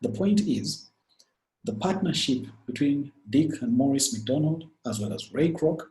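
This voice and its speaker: A man with a Ugandan accent, from Uganda, giving a webinar talk as the speaker and walking through business stories in an earnest, lively way. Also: mid-range voice, unhurried. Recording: echoey room.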